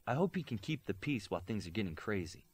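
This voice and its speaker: A man's voice: fairly low pitch, sing-song, quick pace, husky. A man voicing a proud, argumentative young male superhero in an audiobook, speaking emphatically and confrontationally. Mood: troubled.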